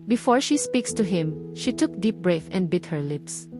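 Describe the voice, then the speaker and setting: A woman's voice: on the low side, very animated, unhurried. A woman with a Filipino accent reading a scripted romance novel as a story narrator. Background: background music.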